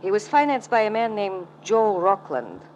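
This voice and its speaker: An actress playing an elegant, wistful ballerina with formal, melodramatic speech in a 1940s radio drama. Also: female voice, mid-range voice, very animated, normal speed, darkish tone, close to an everyday voice. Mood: weary, resigned. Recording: noisy old radio recording.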